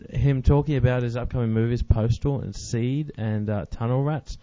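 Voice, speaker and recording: Man, relaxed dark tone, mid pitch, casual podcast hosting, dry close sound. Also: normal speed, no echo. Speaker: podcast host. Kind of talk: casual chat. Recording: dry, slightly dull recording.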